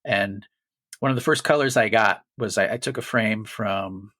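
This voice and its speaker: A man's voice: fairly low pitch, moderate pace, no echo. Podcast guy rambling about movies, lots of restarts, dry close mic. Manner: rambling, restarts, animated bursts of laughter. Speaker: podcast co-host. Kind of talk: casual film discussion.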